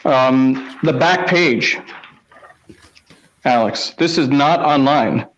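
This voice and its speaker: A man hosting a casual live show, reading things out in short, halting phrases with pauses between them. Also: mid-range voice, lo-fi sound. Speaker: host of a live newspaper read-along.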